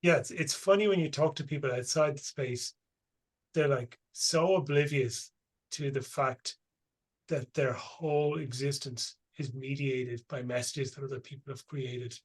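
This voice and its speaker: A man hosting a podcast, relaxed and casual, swearing freely in easy conversation. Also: medium pitch, measured pace, husky. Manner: relaxed, swears casually, uses colloquial phrasing. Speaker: podcast host. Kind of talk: casual interview chat.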